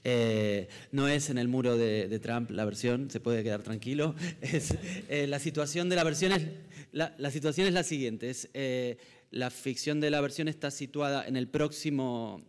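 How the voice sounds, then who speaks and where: A man's voice: medium pitch, normal speed. A man who wrote the adaptation, explaining it with jokes and some stammering. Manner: joking, stammering. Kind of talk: press presentation remarks. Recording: long hall reverb.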